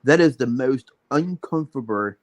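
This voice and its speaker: A man's voice: mid-range voice, animated, moderate pace. A man chatting casually on a livestream in a plain-spoken way.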